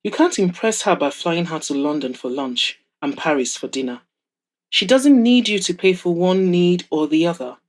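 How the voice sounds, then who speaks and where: A woman's voice: lowish voice, expressive, moderate pace. Frank, teasing wealthy older mother, voiced by a woman. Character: proud, wry older wealthy mother of grown son.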